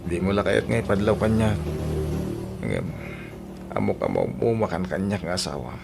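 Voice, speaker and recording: Gravelly low sickly husband. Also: male voice, big pitch swings, normal speed, loud music behind the voice, exaggerated voice. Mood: apologetic. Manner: weary, pleading. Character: sickly, apologetic farmer husband, weary and meek. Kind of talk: radio drama acting. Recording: clear background noise.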